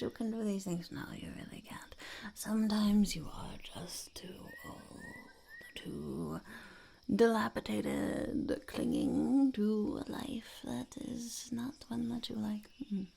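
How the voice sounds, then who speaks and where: A heavily creaky, gravelly goblin woman, played by a female performer, murmurs in a breathy half-whisper. She is smug, blunt and unhurried, like a small-time peddler in an ASMR roleplay. The recording carries a faint hiss with crinkling behind the voice. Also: medium pitch, a little mellow. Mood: bluntly dismissive. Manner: speaks of herself in third person, unhurried, blunt. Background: crinkling wrappers and clicks.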